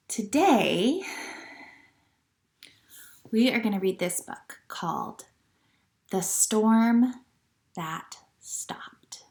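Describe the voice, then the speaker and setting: A woman leading a children's church storytime at home, talking gently to young listeners with questions and reading aloud. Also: fairly low pitch, reverberant. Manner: gentle teacher talk, asking children questions.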